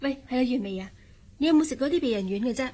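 A woman's voice: high voice, expressive, racing, mellow. An actress playing a fussy, cynical married woman who acts like a big sister, in a radio drama with a Hong Kong Cantonese accent. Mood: big-sisterly.

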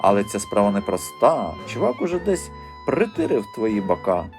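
A man's voice: fairly low pitch, huge pitch swings, moderate pace, a little mellow, soft background music. A man voicing a sly, mocking teenage street-gang boy in an audiobook, sneering with fake politeness and swaggering slang.

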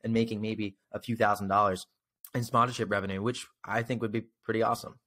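A man's voice: on the low side, little pitch movement, fast, a bit bright. A man in his twenties hosting a casual interview, explaining things with enthusiasm into a close desk microphone. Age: 20s. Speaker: podcast host. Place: indoor home room. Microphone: close desk microphone on a video call.

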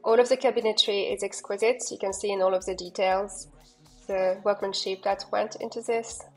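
A woman with a voice of ordinary pitch narrates a yacht tour in a loose, enthusiastic way, pausing on fillers and restarting her sentences. Soft music plays faintly under her voice. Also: steady tone, rough recording. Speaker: yacht broker giving a boat tour. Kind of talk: walkthrough narration.

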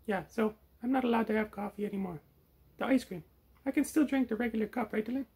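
A man voicing a silly, cheeky, food-obsessed puppet creature in a squeaky, strained falsetto. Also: quick pace, slightly rough. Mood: excitable. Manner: excitable, cheeky, teasing. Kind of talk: improvised puppet show.

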